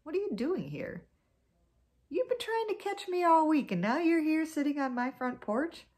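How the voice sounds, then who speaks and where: A woman's voice: on the low side, very animated, moderate pace, breathy edge. A woman voicing a proud, easily offended male snake who speaks in a boastful, indignant way.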